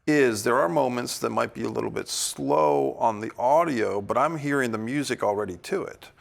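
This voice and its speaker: A man with a gravelly, creaky voice at a middling pitch directs a group discussion. He talks casually and encouragingly, feeling his way through feedback as the host. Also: animated, moderate pace. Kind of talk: leading a casual table read discussion.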